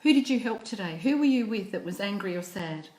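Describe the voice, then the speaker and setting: A woman voicing a gentle, patient mother who talks encouragingly with her young son and coaxes him to open up. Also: mid-range voice, animated, brisk, close to an everyday voice. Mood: gentle, coaxing. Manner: encouraging, questioning. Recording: echoey room.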